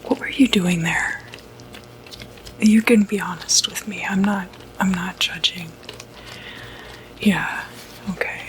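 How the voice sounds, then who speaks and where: A woman whispering breathily in an ASMR medical roleplay, playing a reassuring doctor with a hesitant, gentle bedside manner. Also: lowish voice, steady tone, slow pace, pauses often, slight background noise, rough recording. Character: calm, reassuring doctor who treats demonic possession.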